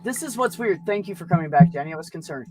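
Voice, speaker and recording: Chatty, sweary higher-pitched man. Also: lively intonation, quick pace, a little mellow, slightly rough, no echo. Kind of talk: casual group chat.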